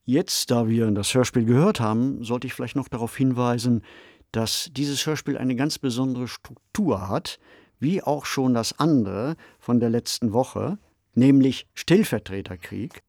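A man giving measured, analytical commentary on a radio play in an explanatory style. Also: medium pitch, darkish tone, no echo, clean studio sound. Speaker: podcast commentator on radio plays.

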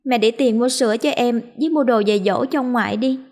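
A woman with a Southern Vietnamese accent voicing a caring young girl who speaks in a high, pleading, childlike way. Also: brisk, a little mellow, natural voice. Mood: earnest, worried.

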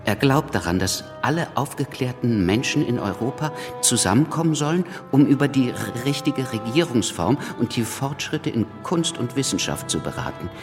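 Schauspieler als wortgewandter, lebhaft modulierender Revolutionsliterat. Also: male voice, mid-range voice, sing-song, moderate pace, hardly any pauses, long reverb tail, music in the background, lightly acted. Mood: wry, expository. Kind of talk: German radio drama acting and narration.

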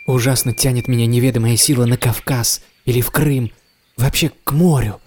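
An actor playing a restless, self-doubting writer in an audio drama, speaking in a wistful, courteous manner. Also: male voice, medium pitch, fairly even intonation, moderate pace, a little mellow, close to an everyday voice. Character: weary, restless, self-doubting male writer set on escape. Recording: clear background noise, some clicks.

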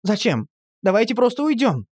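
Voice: medium pitch, animated, a little fast, pitched above the performer's own voice, lightly acted. A storyteller voicing a frightened young city man who keeps exclaiming in protest. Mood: agitated. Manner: agitated, exclaiming.

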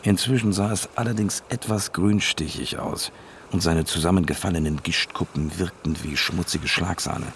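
An actor playing a hard-boiled private detective who narrates the story in a dry, laconic way, in a radio drama. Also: male voice, deep voice, normal speed, vocal fry, slight background noise. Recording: music playing behind.

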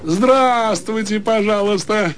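An actor playing a jovial provincial husband and host in a radio drama, with a drawn-out, playful delivery. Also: male voice, high-pitched. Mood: gushing welcome.

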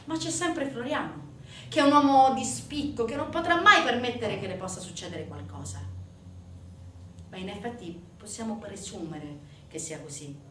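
A woman's voice: mid-range voice, moderate pace, pushed and bright. A woman performing a dramatic theatrical monologue as a stage actress, narrating with intense, emphatic delivery that swells and drops. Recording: roomy, distant microphone, faint noise.

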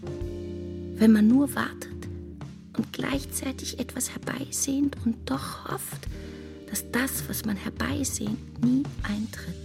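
An actress in a German radio drama playing an anxious, earnest, wistful woman with a breathy voice. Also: a little high, steady tone, slow, in a whisper, music in the background. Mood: intense melancholy reading.

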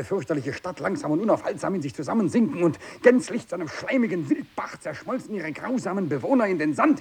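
An actor playing a tormented, bitter, fanatical renegade missionary in a radio drama monologue, brooding and intense, moving between hushed speech and anguished outbursts. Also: male voice, lowish voice, gravelly, husky, heavily acted. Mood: desperate pleading. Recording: steady tape hiss.

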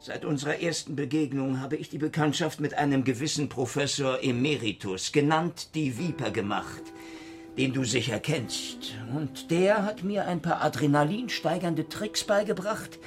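A man's voice: fairly high pitch, normal speed, faint music behind, natural voice. A man playing a cynical, menacing interrogator in a Soviet-era thriller radio drama, taunting and needling with his questions. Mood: mocking menace.

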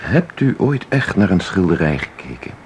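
A terse, superior museum visitor in a radio play. His low voice moves expressively as he puts curt, pointed questions. The recording carries slight background noise. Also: big pitch swings, moderate pace. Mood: challenging. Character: terse, probing, condescending male museum visitor. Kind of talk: Dutch radio play acting.

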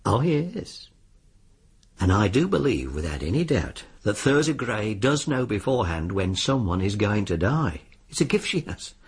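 An actor playing a suave, sly disbarred lawyer in a radio drama, with a British accent and an insinuating, politely formal way of talking. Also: male voice, on the low side, sing-song, normal speed, husky, heavily acted. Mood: smoothly persuasive. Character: suave, sly disbarred lawyer arranging sinister bets on deaths.

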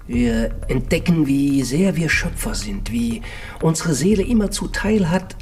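An actor playing an eccentric philosophical mentor in a radio drama, musing and lecturing with contemplative assurance. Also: male voice, on the high side, unhurried, vocal fry, a little mellow, soft background music. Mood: contemplative, assured. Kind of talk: German literary radio drama.

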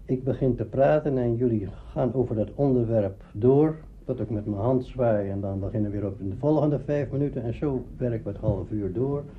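A man's voice: mid-range voice, fairly quick, hardly any pauses. Man reminiscing on a dull recording. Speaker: radio drama maker interviewed. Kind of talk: informal spoken reminiscence. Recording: dull recording with faint noise.

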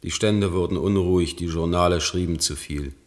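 An actor playing a grave government councillor, formal and measured, in a German radio drama. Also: male voice, low-pitched, a little mellow, close to an everyday voice. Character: grave government councillor, loyal friend turned dutiful official.

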